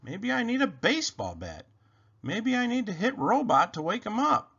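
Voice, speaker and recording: An excitable little storybook rabbit, acted out by a man, fussy and easily exasperated. The voice is pushed up high and swings expressively from word to word. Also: moderate pace, creaky, husky, muffled recording, exaggerated voice. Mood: worried, hopeful.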